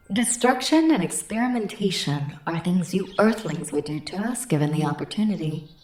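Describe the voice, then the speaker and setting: Casting: actress as composed, superior alien visitor in human form, female, slightly low voice, formal delivery, radio sci-fi play, echoing room. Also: a little mellow, a little hiss or hum. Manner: haughty, formal, unhurried pronouncements. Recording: roomy, echoing voice recording.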